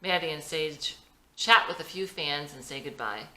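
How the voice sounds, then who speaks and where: Female script reader for screenplay narration, lowish and bright, lively intonation, echoey room. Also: moderate pace. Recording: roomy, echoey sound.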